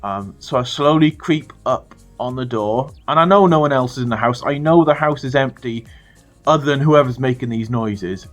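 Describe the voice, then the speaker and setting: A British man telling a funny story to his viewers in a casual, animated way, swearing freely. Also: mid-range voice, normal speed, soft background music. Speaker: storytelling vlogger.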